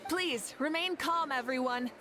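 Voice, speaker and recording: A woman voicing a composed, authoritative warrior leader in a video game, speaking calmly, formally and thoughtfully. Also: high voice, measured pace, a faint crowd, a little hiss or hum. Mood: calm, commanding.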